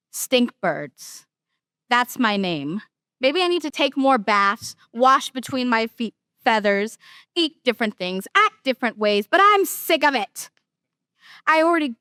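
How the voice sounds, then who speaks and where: A woman's voice: high voice, fairly bright tone, no room sound, studio quality. A young woman, a student poet, giving a dramatic first-person reading of a poem. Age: young adult.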